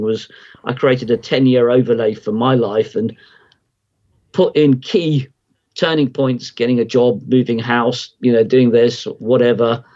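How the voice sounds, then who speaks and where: A man's voice: mid-range voice, a little mellow, dull audio. Middle-aged man explaining market cycles. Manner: reflective, rambling with restarts. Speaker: guest market analyst. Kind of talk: podcast interview, explaining.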